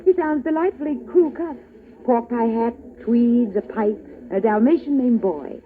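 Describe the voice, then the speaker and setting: A woman's voice: fairly high pitch, moderate pace, close to an everyday voice. Woman doing a teasing femme fatale, dry and classy, tinny old radio sound. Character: cool, witty femme fatale, young adult woman. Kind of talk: 1940s radio acting.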